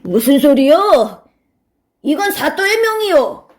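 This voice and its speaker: A woman voicing an arrogant, bullying male official in a children's storybook, gruff and domineering. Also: sits high, very animated, slow pace, pitched above the performer's own voice, heavily acted. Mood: scornful bullying.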